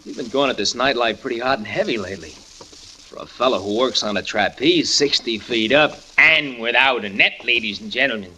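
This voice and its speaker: A man's voice: mid-range voice, moderate pace, pushed and bright, a little hiss or hum, rough recording. An actor playing a cocky, genial young male trapeze performer in a 1940s radio drama, breezy and teasing. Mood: concerned. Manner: breezy, teasing, big-brotherly.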